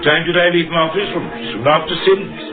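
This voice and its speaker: A composed middle-aged lawyer in an old radio crime play, played by a man. The voice sits a little high, clipped but conversational. Music lies behind the tinny broadcast sound. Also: brisk, close to an everyday voice.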